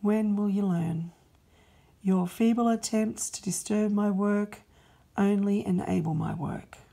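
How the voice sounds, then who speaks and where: A woman voicing God as a stern, loving father-king who speaks slowly and with great emphasis. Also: medium pitch, fairly even intonation, gravelly, dark tone. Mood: commanding. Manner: commanding, slow and emphatic.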